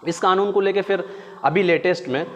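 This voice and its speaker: Male teacher, Indian accent, slightly high pitch, didactic explanatory lecture, reverberant hall-like recording. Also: brisk, lo-fi sound, a little hiss or hum. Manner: didactic, glosses terms in Hindi and English.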